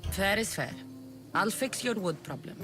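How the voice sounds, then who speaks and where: A woman's voice: medium pitch, lively intonation, moderate pace, close to an everyday voice. A woman playing a tough, self-assured young mercenary in a video game, speaking dryly with a Greek accent. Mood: determined. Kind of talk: scripted video game dialogue. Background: background music.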